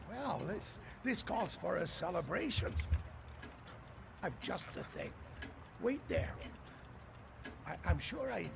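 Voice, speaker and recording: A man playing a kindly, forgetful elderly clock repairer in a video game, with halting, courteous, old-fashioned speech. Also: high voice, background noise, heavily acted. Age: older. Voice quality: creaky. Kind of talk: video game dramatic acting.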